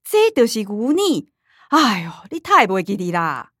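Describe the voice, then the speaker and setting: A woman's voice: medium pitch, big pitch swings, normal speed, fairly bright tone, dry recording, studio quality. An actress playing a practical, caring middle-aged mother in a radio drama, speaking with a Taiwanese accent in a coaxing, explanatory way. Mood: nostalgic.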